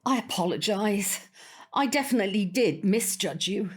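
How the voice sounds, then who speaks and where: A woman's voice: mid-range voice, expressive, moderate pace, slightly rough. An actress playing a prim older neighbour woman in an audio drama, proper, hesitant and genteel. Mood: earnest, reflective.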